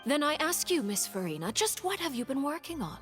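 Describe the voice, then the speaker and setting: A woman playing a cold, composed diplomat in a game, speaking in a measured, formal, sardonic and pointed way. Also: high-pitched, big pitch swings, fairly bright tone. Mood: accusing. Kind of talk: dramatic game voice acting. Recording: music under the voice.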